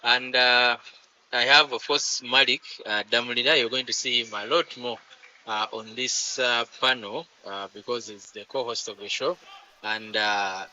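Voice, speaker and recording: A man hosting a sports talk show, speaking slowly with many filler sounds. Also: medium pitch, steady tone, pushed and bright, highs cut off. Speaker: show host.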